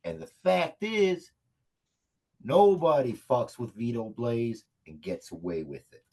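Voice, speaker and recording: A man acting a cocky, vengeful action hero in a comedy table read, with a husky voice and defiant speechifying. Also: medium pitch, takes it slowly, warm tone. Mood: vengeful, menacing.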